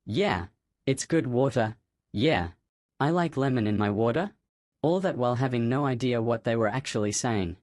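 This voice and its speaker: A man's voice: medium pitch, lively intonation, moderate pace, darkish tone, no room sound, clean studio sound. A man reading aloud a first-person online story in a plain, matter-of-fact way. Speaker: narrator.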